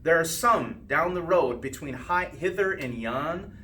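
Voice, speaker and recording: A man reading a rhyming children's storybook aloud with expressive, sing-song phrasing and drawn-out line endings. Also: on the high side. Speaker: school principal reading a storybook. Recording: distant mic with faint background noise.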